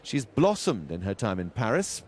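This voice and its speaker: A man's voice: fairly low pitch, sing-song, moderate pace, darkish tone, a little hiss or hum. A man presenting on radio, giving a scripted introduction to a short story.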